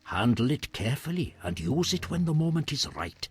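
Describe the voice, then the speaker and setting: An actor playing a solemn, reverent hermit in a fantasy video game, with formal, measured phrasing and a husky voice. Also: male voice, mid-range voice, sing-song, lightly acted. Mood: courteous.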